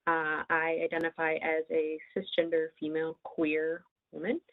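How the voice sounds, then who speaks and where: A woman's voice: deep voice, monotone, moderate pace. A woman from the US Midwest who works in child welfare, introducing herself in a webinar. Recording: tinny phone-like line.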